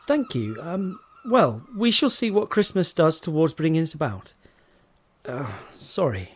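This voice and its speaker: An actor playing a flustered, apologetic young gentleman in an audio drama, with a hesitant, polite way of speaking. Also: a little high, sing-song, a little slow, slight background noise.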